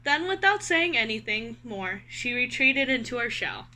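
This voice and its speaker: A woman with a high, bright voice reads a children's story aloud. She is expressive and speaks directly to her young listeners, guiding them through the tale. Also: moderate pace. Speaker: read-aloud host.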